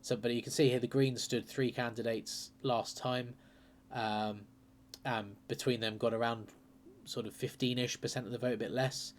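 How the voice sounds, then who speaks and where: A man hosting a political channel, talking casually and hesitantly through by-election numbers, his voice a bit croaky from a cold. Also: mid-range voice, fairly even intonation, moderate pace, a little hiss or hum. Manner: chatty, hesitant, lots of ums and uhs. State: croaky with a sore throat and cold. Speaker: political commentary channel host.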